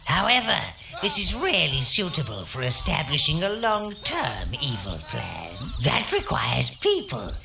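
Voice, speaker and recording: A man voicing a scheming, fawning old goblin-like servant of an evil master in a video game, theatrical and disdainful, with a gravelly voice. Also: fairly high pitch, huge pitch swings, unhurried, few pauses, noisy background, heavily acted. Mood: gleeful, sinister. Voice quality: gravelly, creaky. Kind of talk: video game character acting. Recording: music behind the voice.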